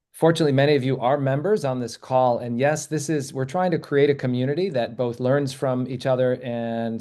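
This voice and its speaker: A man hosting a webinar, speaking in an appreciative, measured way as he thanks people and takes questions. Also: mid-range voice, mellow. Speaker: webinar host. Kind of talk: webinar presentation and Q&A.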